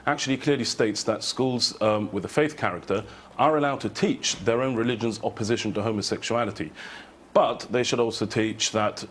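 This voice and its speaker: Lower-pitched man, measured and firm. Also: a bit bright, a little hiss or hum. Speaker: head teacher. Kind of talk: studio debate argument.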